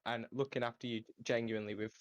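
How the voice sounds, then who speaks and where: Earnest young man with a squeaky voice. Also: flat delivery, fast, no echo, lo-fi sound. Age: 20s. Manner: earnest, insistent. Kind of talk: casual voice chat with friends.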